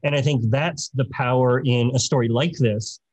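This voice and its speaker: Husky-voiced man on a panel. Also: mid-range voice, steady tone, moderate pace, a little mellow, no room sound. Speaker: storyteller, filmmaker panelist. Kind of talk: conversational panel talk with anecdote. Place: indoor room on a video call.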